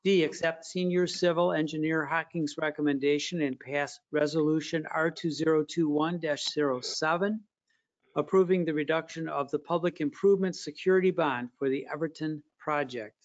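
Man reading a formal agenda aloud. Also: fairly high pitch. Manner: steady, formal, measured reading. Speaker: council meeting chair. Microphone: video call. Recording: dull lo-fi video call audio.

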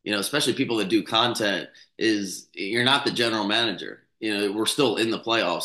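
A man in his thirties, a little hoarse, talks through a game preview in a loose, rambling way, full of restarts and fillers. His voice sits a bit low but sounds bright and forceful. He speaks into a stand mic in a small room that gives a clear echo. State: slightly hoarse from yelling. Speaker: sports betting podcast host. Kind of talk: casual sports commentary. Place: small room with a bookshelf, indoors. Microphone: studio mic on a stand close in front.

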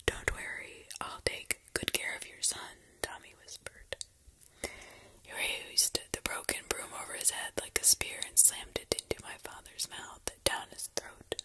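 Woman whispering a horror story. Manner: steady, hushed, unvoiced whisper.

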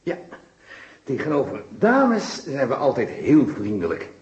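An actor playing a corrupt, gloating mayor turned kidnapper in an old-fashioned Dutch radio drama, taunting and threatening theatrically. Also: male voice, mid-range voice, wildly swooping pitch, a little slow, darkish tone, highs cut off. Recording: roomy, echoing radio play recording.

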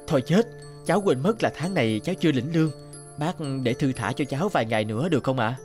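An earnest young man in a cartoon, voiced by an actor. His medium-pitched voice is anxious and a little hesitant, with music playing softly underneath. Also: animated, quick pace, lightly acted. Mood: nervous, polite. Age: young adult. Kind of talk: cartoon voice acting.

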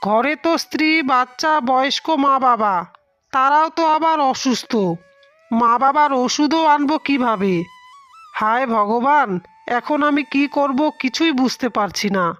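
A woman's voice: sits high, unhurried, fairly bright tone, lo-fi sound, soft background music. A woman voicing a poor, honest, anxious male day labourer in a cartoon fairy tale, humble and plaintive. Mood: worried, despairing.

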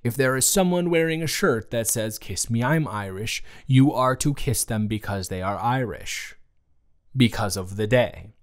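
A man's voice: mid-range voice, close to an everyday voice. Man playing a flustered, awkwardly romantic best friend. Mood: teasing. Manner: hesitant, stammering, halting delivery. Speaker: audio roleplay performer. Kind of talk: improvised romantic audio roleplay, intimate one-sided conversation.

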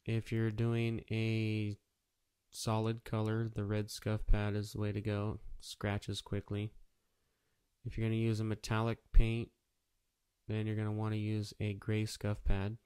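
A man's voice: lowish voice, monotone, measured pace, a little mellow, clean tone. A man narrating a casual step-by-step how-to tutorial in a plain, practical, matter-of-fact way. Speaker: auto painter giving a how-to tutorial.

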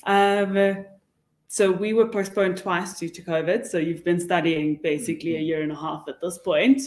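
A woman's voice: fairly low pitch, fairly even intonation, normal speed. A young woman with a South African accent giving candid, emphatic advice as a panelist. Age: young adult. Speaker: webinar panelist. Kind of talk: panel discussion, casual advice. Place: indoor meeting room. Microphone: table microphone. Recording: roomy, echoey meeting room.

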